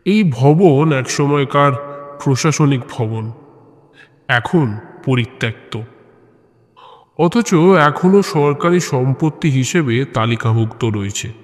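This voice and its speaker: A man narrating and hosting scripted audio horror stories in a measured way, pausing between short phrases. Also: medium pitch, animated. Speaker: host and narrator of an audio story channel. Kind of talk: scripted horror story narration and channel introduction. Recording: long reverb on the voice.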